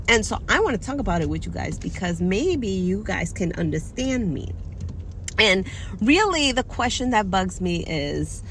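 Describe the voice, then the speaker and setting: A woman's voice: mid-range voice, fairly bright tone, a little hiss or hum. A young adult woman from the United States, talking frankly and in frustration straight to camera, swearing freely as she vents. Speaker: vlogger. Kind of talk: casual personal monologue.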